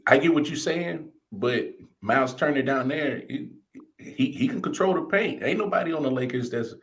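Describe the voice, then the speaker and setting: A man's voice: on the high side, animated, moderate pace. A man from Kansas City, Missouri, in casual sports banter as a fan, slangy and profane.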